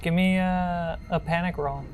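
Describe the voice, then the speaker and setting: Low-voiced woman running a game. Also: fairly even intonation, unhurried, a little mellow, faint music behind. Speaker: game master. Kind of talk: narrating a tabletop role-playing game.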